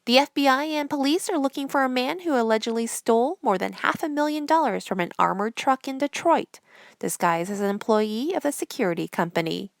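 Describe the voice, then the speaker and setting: A woman presenter reading scripted news headlines in a measured, formal newsreader delivery. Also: sits high, lively intonation, creaky, no echo, clean studio sound.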